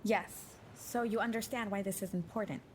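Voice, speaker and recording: A woman's voice: mid-range voice, moderate pace, lightly acted. Woman acting assured covert agent over noisy ambience. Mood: guarded, pressing. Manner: assertive, insistent. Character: determined, assured young female covert agent, Amira. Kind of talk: audio drama acting.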